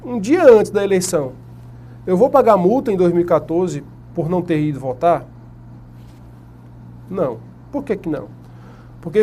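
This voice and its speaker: A man's voice: high voice, mellow, slight background noise. A man with a Brazilian Portuguese accent giving an exam-prep lecture at a whiteboard. He asks the class questions and answers them himself. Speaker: teacher.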